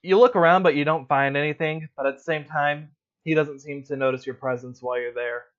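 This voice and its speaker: A man narrating as game master in a tabletop roleplay, relaxed and full of fillers. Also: a little high, fairly quick, lo-fi sound, a crowd in the background.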